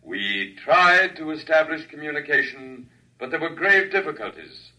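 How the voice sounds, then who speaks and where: An actor playing a dignified alien lecturer in the guise of an elder gentleman, speaking formally and grandly in a classic radio drama. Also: on the high side, expressive, moderate pace. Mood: lofty explaining. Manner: stately, formal, reassuring, grandiose. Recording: distant-miked vintage radio recording.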